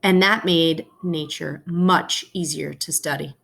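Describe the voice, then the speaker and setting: Woman, slightly low voice, teacher, children's read-aloud, explanatory, careful storybook phrasing. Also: steady tone, takes it slowly, creaky, fairly bright tone. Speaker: teacher reading aloud to students. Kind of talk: read-aloud of a children's picture book with informal asides.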